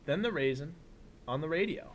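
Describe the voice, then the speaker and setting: Higher-voiced man reading aloud to children, noisy recording. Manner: expressive storytelling, pausing for simple explanatory comments. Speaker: elementary school teacher. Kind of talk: read-aloud of a children's picture book with asides to young viewers.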